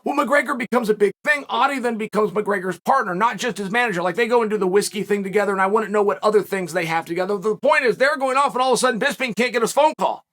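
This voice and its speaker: Man, 40s, high voice, forceful bright tone, animated solo commentary, dark studio. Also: fast talker, hardly any pauses. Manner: animated, speculative, emphatic storytelling. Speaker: show host, commentator. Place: dark studio set, seated in armchair.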